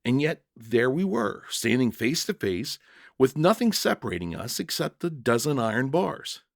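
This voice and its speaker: A man narrating a short story in the first person, in a measured, reflective and dramatic reading. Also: mid-range voice, very animated, vocal fry, no echo, studio quality.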